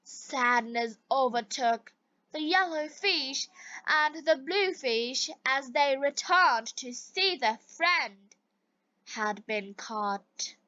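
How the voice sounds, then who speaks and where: A woman narrating a scripted story for language learners in a deliberate, carefully enunciated way. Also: high-pitched, lively intonation, sharp tone, dry recording, muffled recording. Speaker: narrator of English-learning stories.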